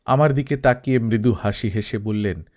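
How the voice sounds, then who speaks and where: A man narrating a literary story in a measured, reflective way. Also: mid-range voice, darkish tone. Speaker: audiobook narrator.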